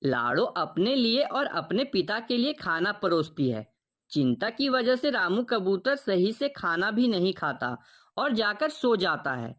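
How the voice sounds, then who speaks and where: A woman narrating a children's cartoon story in an even, scripted storytelling tone. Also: medium pitch, measured pace.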